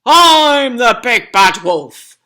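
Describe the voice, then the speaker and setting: A woman voicing a greedy, boastful male wolf with roaring, drawn-out threats in a children's storybook. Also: on the high side, sing-song, sharp tone, pitched above the performer's own voice, full character voice. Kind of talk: theatrical children's storybook reading.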